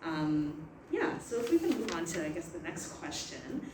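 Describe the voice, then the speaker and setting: A young Canadian woman talks reflectively on a panel, laughing now and then. Her voice sits a little low. It comes from some distance in an echoey room, with steady noise behind it. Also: moderate pace. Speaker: panel moderator. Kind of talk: casual panel discussion. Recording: echoey room, far from mic, noisy.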